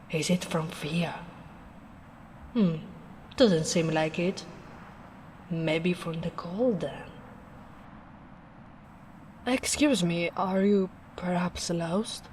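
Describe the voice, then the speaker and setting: A big, kindly ogre woman acted by a female performer speaks softly and very slowly in an ASMR roleplay. Her voice sits a little low, and she sounds caring and plain-spoken as she muses. A light bed of ambient noise runs behind her. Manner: unhurried, musing, soft-spoken.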